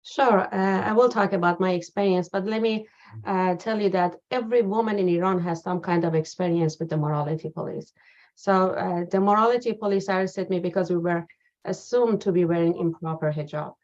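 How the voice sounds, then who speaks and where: A woman guest in an interview, telling a personal account slowly with many pauses and fillers. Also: fairly low pitch, darkish tone. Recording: dull lo-fi sound.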